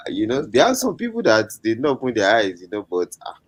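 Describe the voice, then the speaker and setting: A man's voice: mid-range voice, breathy edge. A man from Nigeria with a Nigerian accent, chatting casually as a comedian guest on a talk show.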